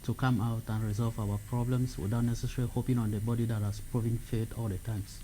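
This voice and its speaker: A young man from Cameroon answering interview questions as a guest, speaking haltingly with many fillers. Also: mid-range voice, little pitch movement, warm tone, a little hiss or hum, low-quality recording. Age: young adult. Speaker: youth organization president, guest.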